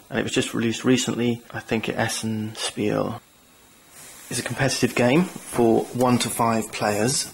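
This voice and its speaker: A man with a gravelly, creaky voice gives a board game setup walkthrough. His pitch stays flat, and his tone is chatty and keen, with self-mocking asides. A faint hiss sits behind him. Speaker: board game channel host. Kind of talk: tabletop game rules walkthrough.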